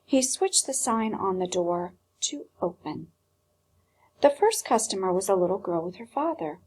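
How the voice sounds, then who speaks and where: A woman teacher reading a storybook aloud expressively, with dramatic pauses and hushed phrases. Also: medium pitch, lively intonation, husky.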